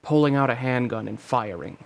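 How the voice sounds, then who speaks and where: A man's voice: lively intonation, brisk, creaky. A man reading out a screenplay as narrator, in a dramatic scripted style, at a table read. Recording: loud ambient rumble behind voice.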